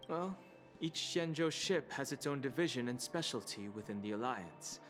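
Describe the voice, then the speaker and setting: Guy voicing calm reserved young game companion, medium pitch, sensible, light music behind. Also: normal speed, close to an everyday voice. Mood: explaining. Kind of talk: video game character dialogue.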